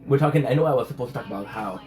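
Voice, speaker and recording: Guy vlogging, stammers and restarts, swears a lot, normal pitch. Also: racing, a little mellow. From Montreal, Canada. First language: French. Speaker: channel host. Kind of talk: casual rambling vlog chat.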